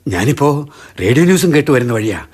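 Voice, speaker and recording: A man playing a shaken, sombre neighbour in a radio play, grim about what he has seen. His husky voice moves widely in pitch, rising and sinking as he talks. He lays out the news with quiet gravity. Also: very animated, fast talker. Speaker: Ravi, a neighbour. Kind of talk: Malayalam radio drama acting.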